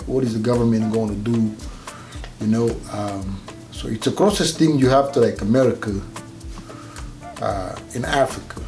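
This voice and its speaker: Gravelly Rwandan-accented man, mellow tone. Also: medium pitch. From Rwanda, grew up partly in Kenya. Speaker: interview guest. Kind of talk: casual personal storytelling in an interview.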